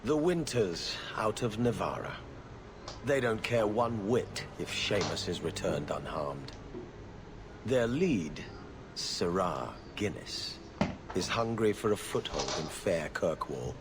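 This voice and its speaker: Low, haughty court steward. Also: male voice, expressive, slow, noisy background, exaggerated voice. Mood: grave, disdainful. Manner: formal, clipped, disdainful. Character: weary, haughty male court steward guarding a viscount's door. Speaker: character in a video game. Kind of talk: scripted video game dialogue.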